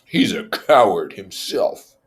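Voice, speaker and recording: A man's voice: fairly low pitch, big pitch swings, slow. A man voicing an aggressive, contemptuous schoolboy hunt leader in a storybook reading, commanding and accusing. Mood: scornful. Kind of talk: storybook character voice.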